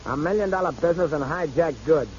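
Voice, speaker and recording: An actor playing a tough, hot-tempered young gangster son in a vintage radio drama, talking in clipped slang. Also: male voice, fairly high pitch, animated, fast, natural voice. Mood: suspicious, angry. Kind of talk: 1930s radio drama acting. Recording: muffled, faintly noisy old radio recording.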